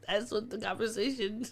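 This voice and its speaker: A woman co-hosting a podcast in casual banter, playfully defensive and insistent. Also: medium pitch. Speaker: podcast co-host.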